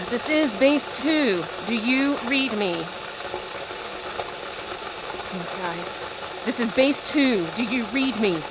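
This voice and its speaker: Anxious, high-voiced female base radio operator. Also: animated, slow pace, pauses often, heavy background noise. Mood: worried, self-calming. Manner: radio-procedure call-outs, increasingly urgent. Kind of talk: audio drama acting.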